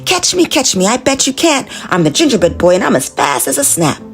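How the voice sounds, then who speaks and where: A woman's voice: medium pitch, big pitch swings, brisk, soft background music. A woman voicing a cocky little gingerbread boy who taunts in a singsong chant. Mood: taunting.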